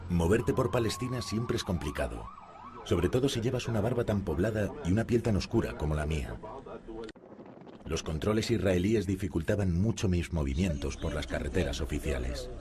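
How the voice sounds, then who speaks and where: A man narrating a documentary in the first person, in a calm and measured way. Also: deep voice, a crowd in the background. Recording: music playing behind.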